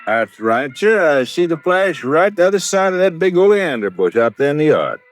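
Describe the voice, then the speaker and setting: A man's voice: a little high, sing-song, normal speed, faint music behind. Emphatic, swooping American man. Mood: eager. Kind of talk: 1950s American radio drama acting.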